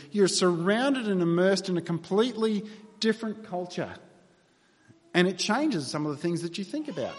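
Australian pastor in a reverberant hall. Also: male voice, sits high. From New South Wales, Australia. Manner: earnest, conversational, wry asides. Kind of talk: sermon with prayer. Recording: long reverb of a church hall.

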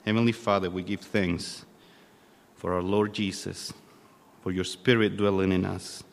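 A man in his thirties from Chile, a native Spanish speaker with a Chilean accent, preaching informally and haltingly with a self-deprecating manner. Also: deep voice, little pitch movement, vocal fry. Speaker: guest preacher. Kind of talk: sermon. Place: church hall. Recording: long hall reverb.

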